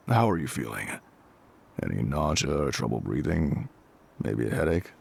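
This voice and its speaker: Very deep, gravelly male fire captain acted out. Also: heavily acted. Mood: calm, concerned. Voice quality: gruff, gravelly, husky. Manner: measured, matter-of-fact, reassuring. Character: gruff, calm, reassuring male fire captain. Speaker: voice actor in audio roleplay. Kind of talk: intimate scripted audio roleplay spoken to the listener.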